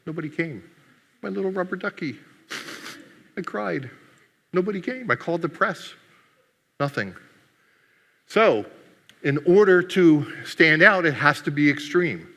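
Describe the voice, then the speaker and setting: A man with a bright, forceful voice gives a playful keynote at a conference and teases the audience as he goes. His first language is Greek. The sound carries a long hall reverb. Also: fairly high pitch, expressive, unhurried, vocal fry, long pauses. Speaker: conference speaker. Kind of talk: humorous keynote talk.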